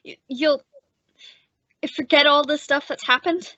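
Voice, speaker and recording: A woman's voice: high voice, fairly even intonation, measured pace, bright, forceful tone, no room sound, lo-fi sound. A woman playing an eager, lovestruck young husband in an old-time radio drama. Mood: eager, grateful.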